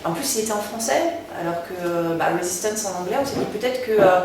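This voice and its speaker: A French woman, a singer, answering interview questions in a casual, halting way, full of fillers and restarts. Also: mid-range voice. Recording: very echoey room, distant mic, noticeable background noise.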